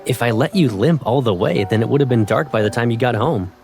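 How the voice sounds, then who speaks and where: A man's voice: medium pitch, brisk, gravelly, darkish tone, close to an everyday voice. A man playing a teasing, affectionate young man, a protective childhood friend, in a fan dub of a romance game. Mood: playful, caring. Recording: faint music behind.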